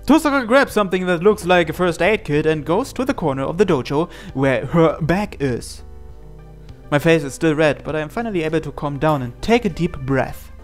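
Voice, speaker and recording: The kind of higher male voice you would hear on a gaming channel, reading a story game aloud over music. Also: animated, moderate pace. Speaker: reader narrating a visual novel playthrough.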